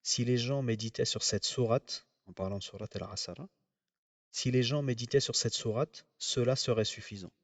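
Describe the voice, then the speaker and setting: A man giving a religious lecture, explaining in a measured way with frequent pauses. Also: mid-range voice, dry recording, far from the mic. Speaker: religious teacher.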